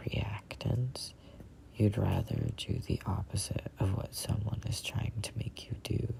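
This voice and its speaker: A person in their twenties reading a book aloud as a whispered ASMR read-along, in halting, deliberate phrases. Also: deep voice, steady tone, gravelly, darkish tone. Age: 20s. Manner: halting, deliberate phrasing with stumbles over words. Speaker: reader of a self-help book.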